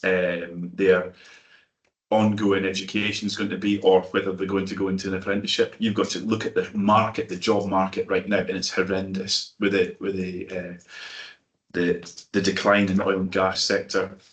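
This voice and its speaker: A man with a broad Doric accent speaks in a very low, level voice. He talks as a councillor in a committee meeting, earnest and emphatic with a folksy turn of phrase, and rarely lets his pitch rise or fall. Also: flat delivery, normal speed, echoey room. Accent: North-East Scots (Doric). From North-East Scotland.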